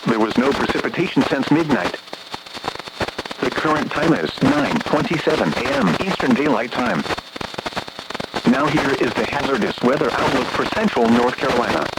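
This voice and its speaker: A man reading a scripted weather bulletin as a radio announcer in a formal, measured, impersonal automated manner. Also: medium pitch. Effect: radio broadcast. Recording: very noisy weather radio reception.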